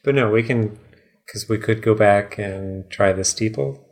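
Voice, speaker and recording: A man's voice: lowish voice, measured pace, creaky. A man co-hosting a podcast in casual chat, musing aloud and joking as he plays along. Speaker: podcast co-host playing along with the story.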